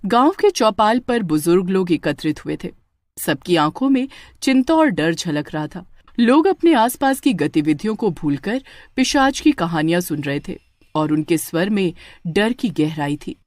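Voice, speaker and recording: Expressive woman narrating a horror tale. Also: lowish voice, normal speed, no echo. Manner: dramatic, with stammering in character lines. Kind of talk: scripted horror story narration.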